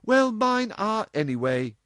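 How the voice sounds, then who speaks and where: Guy doing a cheeky young girl voice, high and sassy, storybook daughter. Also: sing-song, measured pace, no echo, studio quality. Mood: impudent. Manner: playful, cheeky, flippant. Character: impudent mischievous young woman, teasing daughter.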